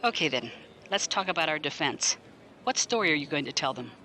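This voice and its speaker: Bright, sharp-voiced military defense lawyer. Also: male voice, a little high, lively intonation, brisk, slight background noise. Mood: stern, warning. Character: probing, blunt military defense lawyer, wryly skeptical. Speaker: voice actor in audio drama. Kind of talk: science fiction audio drama acting.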